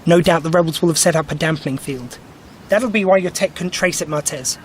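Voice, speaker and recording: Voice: medium pitch, a little fast, a little hiss or hum, close to an everyday voice. An actor playing a breezy, eccentric time traveler in an audio drama, explaining things in a wry, glib way. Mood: brisk, confident.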